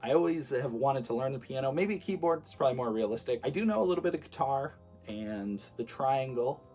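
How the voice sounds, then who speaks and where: A man in his late twenties, a streamer, talking casually to his viewers in a relaxed, rambling way with many fillers. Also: medium pitch, brisk, soft background music. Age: 20s. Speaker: streamer and vlogger. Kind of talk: casual talk to camera.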